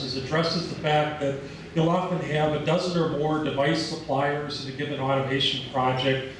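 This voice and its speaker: A man's voice: a little high, a little hiss or hum. A man with an American accent giving a conference presentation, explaining at an easy pace with some fillers. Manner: relaxed explanatory speaking with fillers. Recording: echoey hall, mic at a distance.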